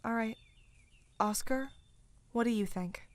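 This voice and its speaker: A woman's voice: a little high, a little slow, no echo, natural voice. A woman acting as a polite, inquisitive young girl apprentice in a fantasy audio drama, asking things directly. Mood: pensive.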